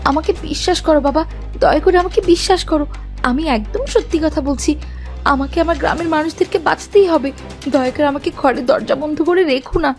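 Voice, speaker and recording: A woman's voice: high-pitched, brisk, soft background music. A woman voicing a brave young village girl in a fairy-tale cartoon, earnest and dramatic in manner. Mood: pleading.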